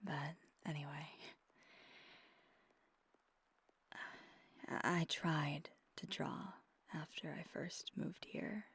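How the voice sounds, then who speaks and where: A woman playing a wistful, tender vampire girlfriend in an intimate ASMR roleplay, confiding in a soft, halting, breathy half-whisper close to the mic. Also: sits low, steady tone, no echo, exaggerated voice. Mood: hesitant, regretful. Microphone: close microphone.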